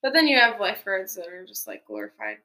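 A woman's voice: mid-range voice, wildly swooping pitch, quick pace, pushed and bright. A woman, co-hosting a casual chat and laughing often. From Wisconsin, USA. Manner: giggly, chatty, laughs often. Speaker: podcast co-host.

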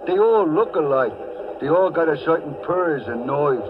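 Nosy, slangy working-class American big guy, played by an actor, 1940s radio drama, higher male voice, dull lo-fi sound. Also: moderate pace, close to an everyday voice. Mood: chummy, nosy. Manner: slangy, familiar, talkative. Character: chatty fat working-class city guy, folksy and nosy. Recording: muffled, noisy old radio recording.

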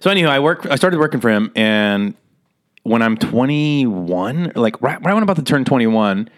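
A man's voice: mid-range voice, big pitch swings, moderate pace. A man in his thirties from Las Vegas, telling his story as a comedian guest in a casual interview, rambling, laughing and swearing as he goes. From Las Vegas, USA.

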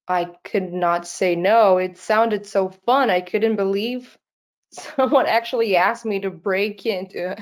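A young Brazilian woman with a Portuguese-accented delivery speaks to a conference crowd. She is a little nervous, scattering fillers and restarts through her sentences and laughing often. Her voice sits at an ordinary middle pitch. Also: darkish tone. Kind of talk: conference talk.

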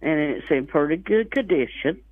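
A woman's voice: deep voice, animated, moderate pace, no echo. A woman phoning a radio show and plainly listing items for sale. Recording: dry, narrow telephone line on radio.

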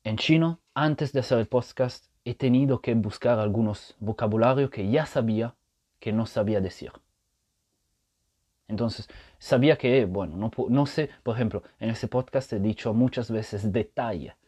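A man's voice: mid-range voice, normal speed, warm tone, husky. A man hosting a casual solo podcast, explaining his point patiently and often checking in with the listener, speaking Spanish as a learned language. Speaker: podcast host.

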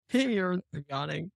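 Male co-host for a casual stream, fairly high voice with heavy fry, playful teasing delivery. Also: no echo, clean studio sound. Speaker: livestream and podcast co-host. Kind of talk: casual chat.

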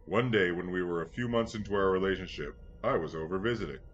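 A man reading a scripted first-person story in a measured, earnest manner. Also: low-pitched. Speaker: story reader. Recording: dull lo-fi sound, clear background noise.

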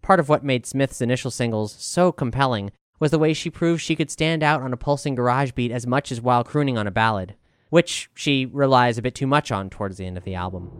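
A man's voice: mid-range voice, fairly quick, smooth, no echo. A man reading a scripted album review as narration.

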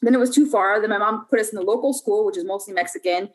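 A woman's voice: mid-range voice, quick pace. A young adult woman from Puerto Rico, a podcast guest telling her life story in a casual, chatty way with lots of fillers and restarts.

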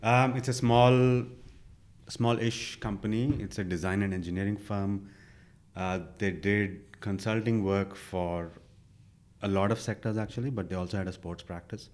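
Young male guest from India, deep voice, easygoing storyteller. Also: normal speed. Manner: relaxed, with many fillers and self-quotes. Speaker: interview guest. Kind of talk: casual conversational storytelling.

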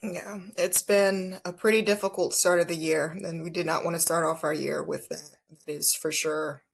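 A woman's voice: low-pitched, steady tone, normal speed, vocal fry, husky. Blunt woman telling a dry story. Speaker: podcast co-host. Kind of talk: casual podcast chat.

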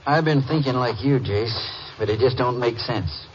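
An actor playing a steady, plain-spoken small-town sheriff in a 1950s radio drama, with a drawling Southern American accent. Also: male voice, medium pitch, normal speed, close to an everyday voice. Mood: puzzled, musing. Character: folksy, steady small-town Texas sheriff, adult man. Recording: muffled, slightly noisy old radio recording.

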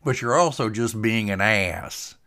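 A man's voice: lowish voice, lively intonation, unhurried, bright, forceful tone, full character voice. A man voicing a blunt, breezy boat-owning buddy who needles his friend in colloquial talk, in a narrated story. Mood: needling.

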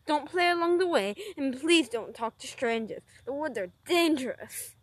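Caring storybook mother, gentle and warning, played by a woman. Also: high voice, lively intonation, normal speed, dry recording. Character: caring mother of a little girl.

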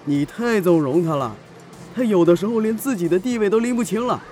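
A man's voice: sits high, lively intonation, fairly quick, darkish tone, soft background music. An actor playing a chatty, cynical male best friend in a Chinese audio drama, needling and flippant.

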